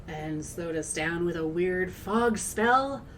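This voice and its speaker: Woman playing a slow, sardonic crew member. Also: fairly low pitch, lively intonation, fairly bright tone, slight background noise. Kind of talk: audio drama acting.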